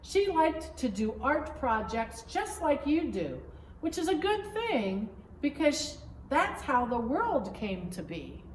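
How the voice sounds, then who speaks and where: Woman reading as a gentle storytelling dad, unhurried. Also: sits high, very animated, exaggerated voice. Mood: playful, patient. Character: gentle, playful father telling his daughter a bedtime-style tale. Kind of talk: picture-book read-aloud. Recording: roomy, distant mic, faint background noise.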